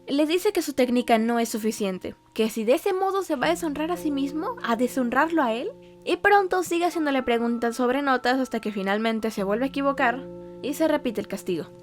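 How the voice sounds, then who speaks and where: A woman with a high-pitched voice narrates a story recap as a video essayist. She explains scenes and their meaning, with music playing underneath. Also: lively intonation, brisk.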